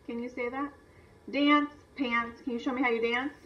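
A woman's voice: a little high, fairly even intonation, normal speed. A woman teacher giving a read-aloud lesson for young children, instructional, stressing words and asking simple questions. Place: video lesson played on a screen and re-recorded. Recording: distant, dull replay through a screen's speaker.

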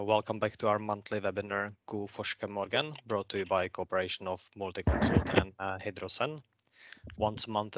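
A man's voice: fairly low pitch, fairly bright tone, no room sound. A man hosting a webinar, giving a polite, orderly introduction. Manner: polite and orderly, brief fillers. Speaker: webinar host. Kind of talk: formal introduction.

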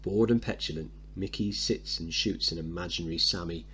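A man's voice: sits low, a little fast, a bit bright, slight background noise. A man teaching, reading out a play's stage directions and explaining them as he goes. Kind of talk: dramatic reading with analysis.

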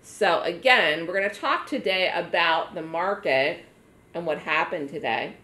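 A hoarse woman with a bright, edgy voice that sits a little low lectures her online trading room. She talks in a chatty way and repeats key words for emphasis. The sound comes through a webinar mic with a roomy echo. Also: a little slow. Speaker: trading educator running a trading room.

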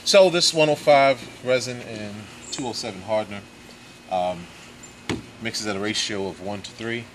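A man in his forties giving a casual step-by-step how-to demonstration in a backyard, with relaxed fillers. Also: lowish voice, moderate pace, background noise. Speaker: hobby boatbuilder. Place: backyard outdoors. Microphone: camera mic, handheld camera nearby.

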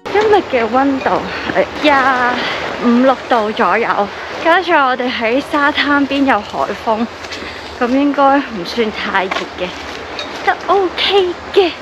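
A woman's voice: sits high, expressive, takes it slowly, noisy background. A young woman with a Hong Kong Cantonese accent, chatting casually through a camping vlog and laughing at herself. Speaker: vlogger. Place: outdoors on a beach campsite. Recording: music playing under the voice.

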